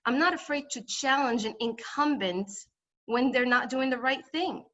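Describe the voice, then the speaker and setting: Higher-voiced woman candidate, dull audio. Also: moderate pace, fairly bright tone. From Morocco. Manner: emphatic, repetitive campaign phrasing. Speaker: congressional candidate. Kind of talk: political forum speech.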